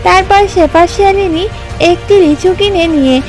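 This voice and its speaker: Very high-pitched woman storyteller. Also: moderate pace, faint music behind. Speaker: narrator. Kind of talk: scripted children's story narration.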